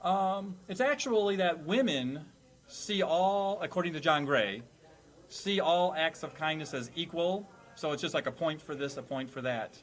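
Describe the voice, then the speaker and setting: A man's voice: a little high, animated, moderate pace. A man hosting a comedic classroom quiz in a jokey, mock-formal teacher's manner. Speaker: host playing teacher of a comedy panel game.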